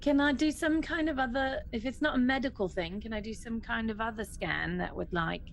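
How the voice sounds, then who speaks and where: A woman's voice: a little high, fairly quick, creaky, slight background noise. A woman playing a tabletop role-playing game, chatting casually and thinking aloud in a hesitant way. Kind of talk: casual, improvised game chat.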